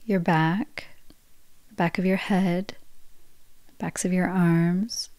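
Soft-spoken woman guiding relaxation. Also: lowish voice, steady tone, dry recording. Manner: slow, soft, soothing guidance. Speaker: meditation guide. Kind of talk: guided yoga nidra relaxation.

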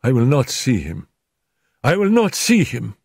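A man's voice: mid-range voice, wildly swooping pitch, measured pace, vocal fry, a little mellow, breathy edge, no room sound, clean studio sound, voice pushed up, heavily acted. A man voicing a feverish, delirious woman who is terrified and despairing, in an audiobook. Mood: frantic, despairing. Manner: frantic, raving.

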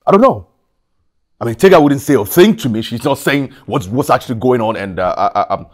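A man's voice: rumbling low, sing-song, a little fast, husky, exaggerated voice. An actor with a Nigerian accent playing an agitated, pleading husband and father in a film drama, stammering under stress. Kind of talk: naturalistic film drama acting.

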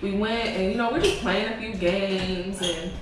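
A young woman chatting casually in her home kitchen, telling a story with lots of giggles and animated asides. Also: mid-range voice, normal speed. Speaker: vlogger. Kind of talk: casual vlog chat. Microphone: camera across the room. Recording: echoey room, far from camera, background noise.

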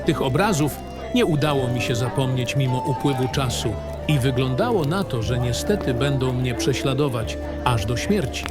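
Male narrator for fantasy audio drama: world-weary baron recounting dark memories, expressive range, clearly scored with music. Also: mid-range voice, normal speed. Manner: grave, literary storytelling.

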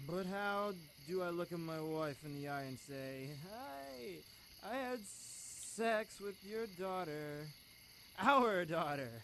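A student actor playing a proud father of high standing who is weighed down by guilt, in a live audio drama. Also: expressive, takes it slowly, gravelly, sharp tone, slight background noise, full character voice. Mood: ashamed, brooding. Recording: music behind, live radio studio.

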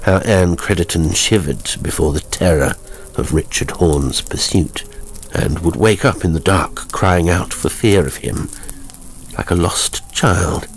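A man narrating a horror story in a measured, dramatic manner. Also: sits low, slight background noise, low-quality recording.

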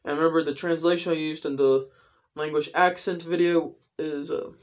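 A young adult man explaining things in a casual vlog style, with careful, halting phrasing, recorded indoors at home. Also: on the high side, fairly even intonation. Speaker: video creator. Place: indoors, small room at home.

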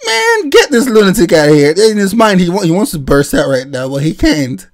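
A man's voice: high-pitched, sing-song, brisk. A man hosting a reaction video, mocking what he watches with frequent laughs and exclamations into a desk microphone. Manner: laughs often, exclaims, rants.

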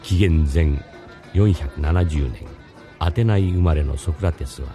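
A man's voice: a bass voice, a little slow, music in the background. A man playing a mysterious, courteous philosophy teacher, narrating in a scripted radio drama. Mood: solemn, explanatory.

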